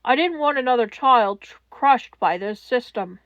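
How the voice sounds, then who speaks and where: A woman voicing a guilt-ridden, shaken, weary man in a fan-fiction reading, halting and defensive. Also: on the high side, animated, no echo, highs cut off, natural voice. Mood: guilty, defensive. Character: guilt-ridden, shaken middle-aged man, retired-hero weariness.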